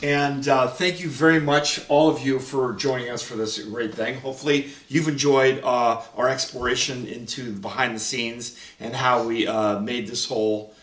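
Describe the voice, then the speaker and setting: A man who hosts and produces the show, talking in a relaxed, loose way and often chuckling. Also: medium pitch, moderate pace, few pauses. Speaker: host and producer. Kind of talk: casual behind-the-scenes chat. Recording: echoey room, distant mic.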